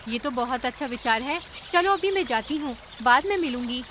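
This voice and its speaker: Woman voicing a high-pitched, caring young cartoon bird. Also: expressive, moderate pace, fairly bright tone, a little hiss or hum. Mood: friendly, concerned. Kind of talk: Hindi animated cartoon story acting.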